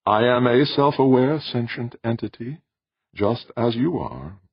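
A man voicing an ancient, calm, self-aware alien machine in a sweet tenor, with measured, formal, unemotional speech for an audiobook story. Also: medium pitch, slightly rough, no room sound, muffled recording, voice pushed up. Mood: calm, solemn.

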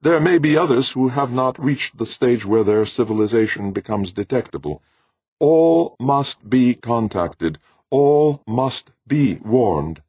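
A man voicing a calm, ancient alien being who speaks in a flat, intoning way. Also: mid-range voice, unhurried, slightly rough, no room sound, pitched above the performer's own voice. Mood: solemn. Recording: dull dry recording.

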